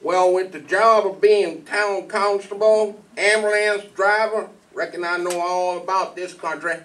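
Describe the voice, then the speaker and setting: A man playing a folksy, rustic, halting small-town constable in a 1940s radio drama. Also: high-pitched, steady tone, a bit bright. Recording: distant microphone in a hall.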